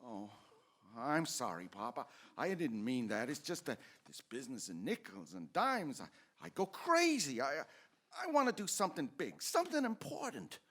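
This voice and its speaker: An actor playing an eager, dreamy small-town young man, halting and excitable, in old-time radio drama style. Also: mid-range voice. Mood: earnest, frustrated.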